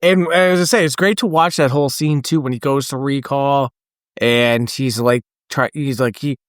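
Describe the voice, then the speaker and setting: A man's voice: medium pitch, fairly even intonation, clear, resonant tone, no room sound, clean studio sound. A man co-hosting a film podcast in casual chat, rambling with many fillers and slipping into character voices. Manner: rambling, lots of fillers, quick impressions of characters. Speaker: podcast co-host. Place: podcast studio.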